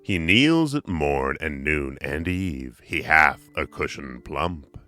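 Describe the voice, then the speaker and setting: A man's voice: a bass voice, lively intonation, slow pace, pushed and bright. A man reading poetry dramatically for an audiobook, theatrical, with big swells and drops in volume. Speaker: poetry reader. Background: music.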